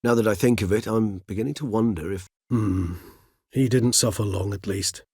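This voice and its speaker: A low-voiced, erudite old vampire gentleman. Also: breathy edge, dry recording, studio quality. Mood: musing. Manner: measured, courtly, bookish phrasing with thoughtful hums. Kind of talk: video game character dialogue.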